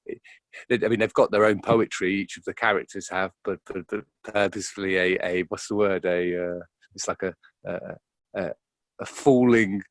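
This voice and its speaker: Man, low voice, dry close sound, halting delivery, repeated words, reflective interview answers. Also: fairly even intonation, no room sound. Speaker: interview guest, actor. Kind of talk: reflective, informal interview conversation.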